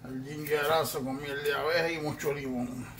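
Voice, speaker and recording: A man chatting casually while cooking in a home kitchen, relaxed and joking, recorded on a phone held by someone else. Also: on the high side, moderate pace, a loud crowd. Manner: relaxed, confident, jokey. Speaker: home cook showing a ginger drink. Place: small home kitchen, indoors. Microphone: phone held by the other speaker. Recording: phone audio in a noisy kitchen, a bit off-mic.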